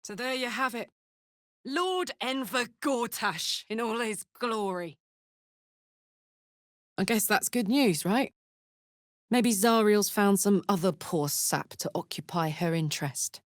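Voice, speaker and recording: Woman acting a blunt, unhurried devilish warrior woman. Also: medium pitch, dry recording, clean studio sound, lightly acted. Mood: earnest. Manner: blunt, earthy, sweary. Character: tough, big-hearted female devil-touched warrior escaped from hell. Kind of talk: video game character voice acting.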